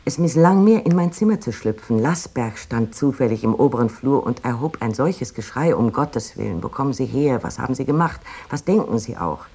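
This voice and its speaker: An actor reciting a wry, vivid first-person letter in a radio drama, in a measured storytelling manner. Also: male voice, mid-range voice, a little mellow, breathy edge. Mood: animated, wry.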